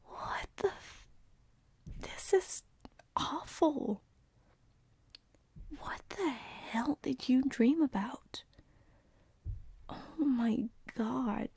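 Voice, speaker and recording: A gentle female paralysis demon, acted by a woman, murmurs in a very slow, breathy whisper. The voice is soft and mellow with some creak, close to the mic, teasing and soothing in ASMR roleplay style. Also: high-pitched, lively intonation, dry recording, full character voice. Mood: disgusted. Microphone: close binaural microphone.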